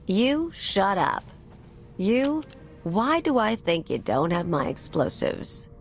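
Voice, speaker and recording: A woman's voice: medium pitch, very animated, takes it slowly, vocal fry, soft background music, full character voice. An actress playing a brash, sarcastic female anarchist bomber in a video game, with a blunt, irreverent manner. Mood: hostile, gruff.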